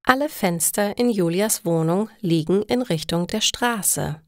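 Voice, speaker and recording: A woman reading a scripted story for language learners in a deliberate, careful storytelling manner. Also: medium pitch, no room sound, studio quality. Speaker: narrator of German listening lessons.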